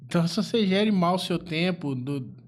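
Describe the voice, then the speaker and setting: Man, podcast host, agreeable, tag questions, slightly high pitch. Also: lively intonation, normal speed. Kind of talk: podcast interview chat.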